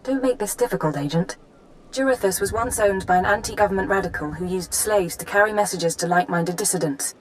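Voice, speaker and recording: An actress playing a brisk, firm intelligence handler briefing an agent in a video game, businesslike and no-nonsense. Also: female voice, medium pitch, slight background noise, lightly acted, rough recording.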